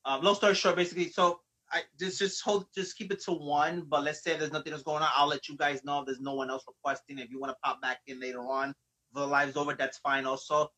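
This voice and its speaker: A man's voice: on the high side, flat delivery, fast, bright, forceful tone, low-quality recording. A man hosting a live poetry stream, chatting in a rambling, friendly way with lots of fillers. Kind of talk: casual live-stream chat.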